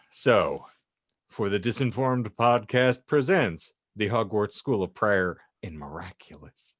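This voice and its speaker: A man's voice: lowish voice, lively intonation, slow, fairly bright tone, dry recording, clean studio sound. A man hosting a comedy podcast, narrating and bantering in a wry, mock-formal way. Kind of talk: comic audiobook narration with banter.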